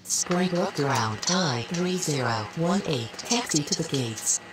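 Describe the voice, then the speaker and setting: A low-pitched female aviation radio voice from a video game, acted by a woman. She is clipped, procedural and businesslike, and clear background noise sits behind her. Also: expressive, takes it slowly. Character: female air traffic controller or pilot, procedural and businesslike. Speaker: air traffic and pilot radio voice in a game. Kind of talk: scripted aviation radio phraseology.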